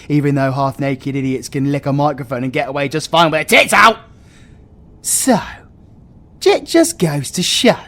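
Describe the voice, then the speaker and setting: A man voicing a foul-mouthed, sarcastic British supervillain in a comedic audio roleplay, ranting theatrically. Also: a little high.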